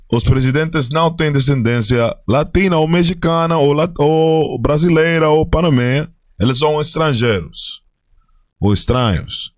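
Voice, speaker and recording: A man's voice: medium pitch, dry recording. Spanish-accented man, dry close sound. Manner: halting, self-correcting Portuguese with Spanish words mixed in; fiery, exhorting when denouncing churches. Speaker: religious group leader answering viewers. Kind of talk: live-stream chat greeting viewers.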